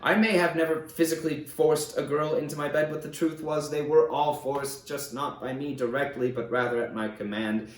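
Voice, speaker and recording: A man reading an audiobook as a remorseful fallen conqueror, confessing his atrocities in a grave, measured, emphatic way. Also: a little high, steady tone, echoey room, natural voice, rough recording. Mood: bitter self-reproach. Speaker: audiobook narrator. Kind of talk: dramatic first-person audiobook reading.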